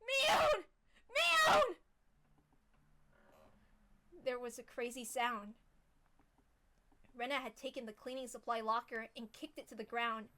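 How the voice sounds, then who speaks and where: A woman narrates a visual novel in a dramatic scripted style. Her voice sits very high and has a bright, sharp tone. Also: flat delivery, moderate pace, slight background noise.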